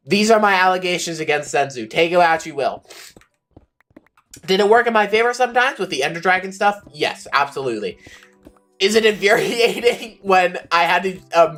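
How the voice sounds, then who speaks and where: A young streamer with a slightly raspy voice, chatting casually and ranting animatedly, with playful insults. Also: medium pitch, fairly bright tone. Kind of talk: casual rambling chat while streaming.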